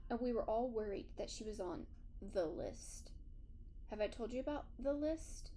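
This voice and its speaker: A woman reading a children's story aloud in an expressive first-person narration. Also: fairly high pitch, normal speed, slight background noise. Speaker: audiobook reader.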